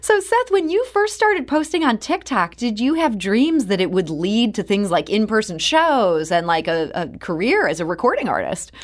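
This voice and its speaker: A woman's voice: medium pitch, sing-song, normal speed, hardly any pauses. An American woman hosting a conversational radio interview.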